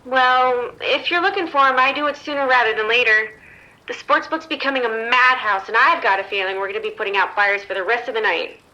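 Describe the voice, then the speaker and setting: An actress playing a wary casino employee, measured and foreboding, in a horror audio drama. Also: female voice, fairly high pitch, pushed and bright, poor audio. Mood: concerned. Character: wary female casino employee warning of trouble.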